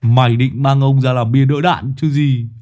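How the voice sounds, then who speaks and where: A man voicing a gruff, irritable sidekick who speaks in a brusque, snappy way. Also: mid-range voice, steady tone, normal speed, warm tone, lightly acted. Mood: annoyed.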